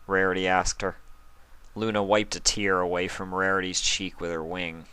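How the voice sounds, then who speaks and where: Man, storybook narration, even and low-key, low voice, dry close sound. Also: normal speed, clear, resonant tone, no echo. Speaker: fanfic reader.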